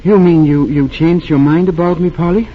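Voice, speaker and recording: Radio play lead, played by a man: kindly, restrained physician, measured and hesitant, husky tone, dull vintage broadcast sound. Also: fairly high pitch, fairly even intonation, close to an everyday voice. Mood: stunned, subdued. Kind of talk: 1940s radio drama acting. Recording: muffled old radio broadcast.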